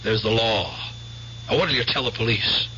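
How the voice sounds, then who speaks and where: An actor in a radio drama playing an uneasy, law-minded veteran who reasons earnestly and hesitantly. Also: male voice, on the low side, moderate pace, sharp tone. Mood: anxious, reasoning. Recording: dull, hissy, humming old radio broadcast.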